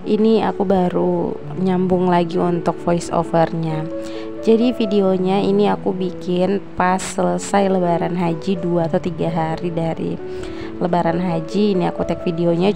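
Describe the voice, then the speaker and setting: A young woman with a hoarse voice from a sore throat, chatting casually as she narrates a cooking vlog voice-over. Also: fairly low pitch. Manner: chatty, addresses viewers as "Bun". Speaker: vlogger. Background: background music.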